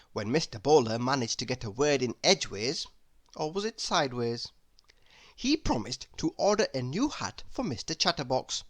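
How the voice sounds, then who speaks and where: A woman reading a children's storybook aloud expressively, with deliberate, drawn-out emphasis. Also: very deep voice. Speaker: storybook narrator.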